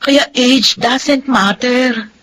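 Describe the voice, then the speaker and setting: A frank, brisk young Filipina student. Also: female voice, sits high, steady tone, fairly bright tone, heavily acted. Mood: patient, upbeat. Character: spirited, outspoken working student, a young woman. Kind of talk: Filipino radio drama acting.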